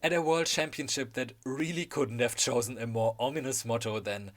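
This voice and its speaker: A man's voice: medium pitch, moderate pace. Man narrating with a German accent. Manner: wry, enthusiastic storytelling. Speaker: video essay host. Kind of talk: scripted narration.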